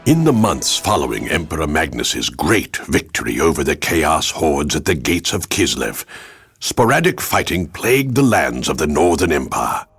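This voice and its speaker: An actor voicing a grave, portentous narrator of a dark war chronicle in a fantasy game, in dramatic scripted style. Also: male voice, rumbling low, very animated, moderate pace, husky, no room sound, faint music behind, exaggerated voice. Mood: grave, ominous.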